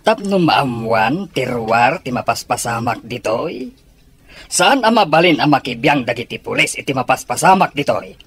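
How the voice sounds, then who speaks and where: An actor playing a stern, proud family patriarch with a husky voice in an Ilocano Filipino radio drama. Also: male voice, medium pitch, very animated, moderate pace, fairly bright tone, faint music behind.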